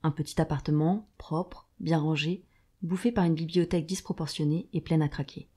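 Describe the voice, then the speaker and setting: A woman's voice: deep voice, moderate pace, dark tone, husky. A woman reading scripted narration for an audio drama.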